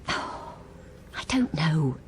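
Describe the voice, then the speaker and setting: A woman's voice: sits low, very animated, extremely slow, slight background noise, heavily acted. A woman playing a timid, anxious wife in a radio drama, speaking hesitantly with stammering restarts, both in dialogue and intimate narration. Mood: guarded, hushed. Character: anxious, timid wife who has turned invisible.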